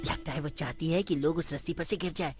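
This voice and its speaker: A woman's voice: low-pitched, a little fast, over music, natural voice. A woman voicing an earnest, polite young boy superhero in a dubbed cartoon. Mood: concerned.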